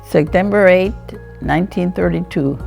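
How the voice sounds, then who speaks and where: A woman in her eighties from Iowa, answering questions in a family interview, halting and searching for her words. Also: fairly low pitch, vocal fry, a little mellow, soft background music. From Iowa, United States. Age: 80s. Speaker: interviewee, grandmother.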